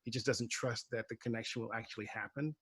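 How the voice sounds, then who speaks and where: A man on a panel explaining his work casually, in a halting, measured way with many pauses. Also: mid-range voice, steady tone, fairly bright tone, breathy edge, no room sound. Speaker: playwright on a panel.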